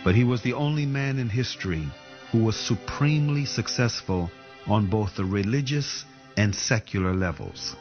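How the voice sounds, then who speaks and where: A man with a low voice reads quotations in a slow, measured voice-over. The recording is dull and lo-fi, and music plays faintly beneath him. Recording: dull lo-fi audio.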